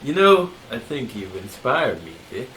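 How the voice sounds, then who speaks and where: A man playing a menacing, eerily confident drifter who philosophizes deliberately in an audio drama. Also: medium pitch, big pitch swings, fairly bright tone, a little hiss or hum. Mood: sly. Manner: slow, deliberate, probing with rhetorical questions. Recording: roomy, distant from the mic. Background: prominent music under the voice.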